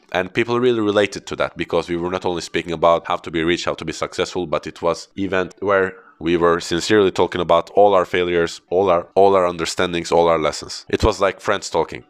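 A man's voice: low voice, clean tone. A man in his thirties from Turkey, a native Turkish speaker, hosting a solo podcast in English and earnestly telling his own story. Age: 30s. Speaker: podcast host and corporate trainer.

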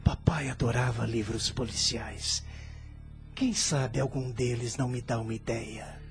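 An actor playing a greedy, scheming son in a radio drama, controlled on the surface and bitter underneath. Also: male voice, medium pitch, a little slow, faint music behind, lightly acted. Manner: polite to others, bitter and scheming alone.

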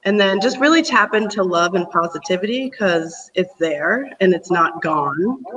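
A man's voice: high-pitched, lively intonation, moderate pace, bright, forceful tone, far from the mic, highs cut off. A man talking earnestly about breathing as a teacher on a panel discussion, rambling a bit and laughing often.

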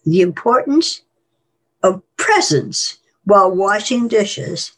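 An older woman with a husky voice, reading research aloud and explaining it in a matter-of-fact, conversational way. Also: medium pitch, animated, slow pace. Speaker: psychologist, podcast guest reader and commentator.

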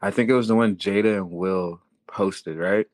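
A young man, originally from Florida, tells his story as a podcast guest. His voice sits low and he talks in a relaxed, slangy way. The recording is close and dry. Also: normal speed, no room sound. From Florida, born in Haiti. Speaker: guest rapper and songwriter. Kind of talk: casual podcast interview.

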